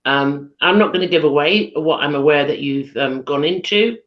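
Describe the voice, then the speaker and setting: A British woman hosting a live chat show on a video call, husky from losing her voice, chatty with lots of ums. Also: sits low, normal speed. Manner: chatty, giggly, many ums. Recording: dull lo-fi video call audio.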